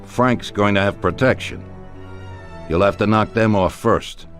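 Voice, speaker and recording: Low-voiced, grave mafia boss. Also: male voice, a little slow, a bit bright. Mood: cold, commanding. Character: grave, commanding middle-aged male mafia don. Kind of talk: dramatic video game cutscene acting. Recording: music behind the voice.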